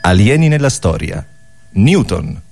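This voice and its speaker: A man's voice: fairly low pitch, very animated, a little slow, gravelly, a little mellow. A man delivering theatrical scripted narration for a radio comedy show as performer and narrator.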